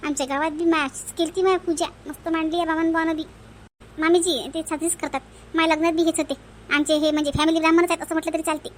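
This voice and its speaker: Woman doing super high squeaky cartoon village lady, teasing n folksy. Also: little pitch movement, quick pace, slight background noise. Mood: chatty. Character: teasing, chatty village women of a family. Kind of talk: comedy cartoon dialogue.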